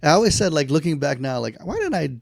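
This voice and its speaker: Animated baritone man telling stories. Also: fast talker, gravelly, no echo, clean studio sound. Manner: animated storyteller, laughs often. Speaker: podcast co-host. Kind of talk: casual chat, storytelling.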